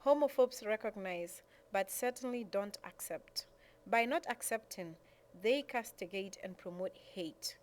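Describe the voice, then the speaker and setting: Female reader, medium pitch, scripted statement, faint ambient noise on the recording. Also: animated, unhurried. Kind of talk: reading a prepared statement aloud. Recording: clear background noise and room ambience.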